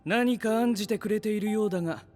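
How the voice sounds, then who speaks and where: Man playing a high-voiced, pompous young genius scientist. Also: fast, a little mellow, soft background music, close to an everyday voice. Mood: self-assured. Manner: pompous, formal, lecturing. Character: haughty, logical young male scientist-knight, coolly self-assured. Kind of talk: anime-style audio drama acting.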